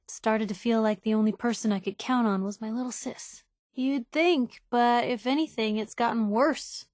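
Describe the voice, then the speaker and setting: Casting: actress for outspoken young female reporter, game companion; loyal, nosy, wry, conversational, fairly high voice, close dry recording. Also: moderate pace, darkish tone, dry recording, natural voice. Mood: heartfelt, wistful. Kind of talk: video game companion dialogue.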